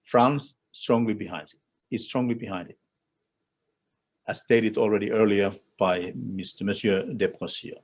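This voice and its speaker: A man from Finland with a Finnish accent delivers a formal policy speech as an official, in deliberate, emphatic short phrases. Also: on the low side, slightly rough, lo-fi sound. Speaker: European Commission official speaking at a conference.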